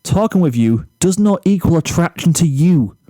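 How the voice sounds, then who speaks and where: A man reading a storybook character, an exasperated, blunt teenage boy who speaks firmly and deliberately. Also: a little high, lively intonation, dark tone, no echo, voice pushed up, close to an everyday voice. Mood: angry, emphatic. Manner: firm, emphatic, deliberate.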